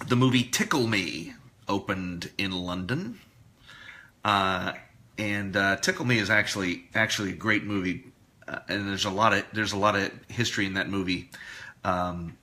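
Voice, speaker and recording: A man presenting a live radio show, talking casually and a bit haltingly through history notes. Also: lowish voice, pushed and bright.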